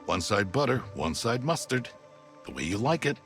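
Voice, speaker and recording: An actor playing a proud, fatherly mentor in an animated video game, earnest and tender with a gently comic self-awareness. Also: medium pitch, normal speed, creaky, soft background music. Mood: caring.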